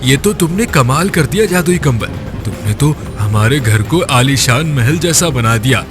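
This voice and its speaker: A man's voice: medium pitch, animated, moderate pace, music in the background, close to an everyday voice. A man playing a humble, poor village husband in a cartoon story, earnest, grateful and a little anxious. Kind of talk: animated moral story voice acting.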